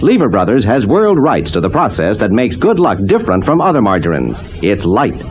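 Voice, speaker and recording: A man's voice: lowish voice, wildly swooping pitch, brisk, darkish tone, a little hiss or hum. A male announcer reading a vintage radio commercial.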